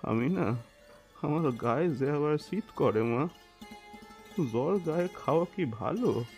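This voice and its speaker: Sickly village father, creaky weak voice, hesitant, tender, audio drama, faint music behind. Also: a little high, animated, slow pace, a little mellow. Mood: weary, tender.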